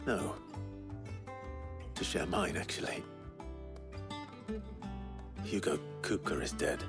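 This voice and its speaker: A solemn hero of a fantasy game. His voice is deep and his pace slow, with short, restrained, earnest lines. Music is clearly heard behind him. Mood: grave, intent. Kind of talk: video game voice acting.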